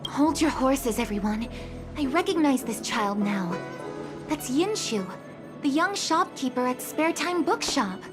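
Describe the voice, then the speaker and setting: An actress voicing a careful woman technician who talks in a polite, measured and cautious way, in a video game dub. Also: high-pitched, expressive. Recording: game cutscene with music behind.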